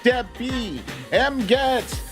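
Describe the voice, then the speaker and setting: A man hosting a live streamed show, chatting to viewers in a playful, theatrical way with drawn-out exclamations. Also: very high-pitched, soft background music. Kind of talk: live chat-show talk, greeting viewers by name.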